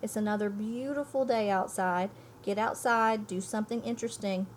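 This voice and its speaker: A woman's voice: mid-range voice, normal speed. Lady teacher reading a storybook n explaining it, emphatic, mid-range voice, bit of noise behind. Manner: emphatic, thinks aloud, poses questions to listeners. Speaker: elementary school teacher. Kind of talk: read-aloud lesson, storybook reading and explaining.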